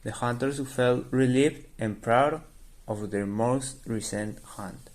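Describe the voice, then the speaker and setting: Mid-pitched man narrating a radio drama. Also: slow pace, a little mellow. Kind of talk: scripted story narration for an amateur radio drama.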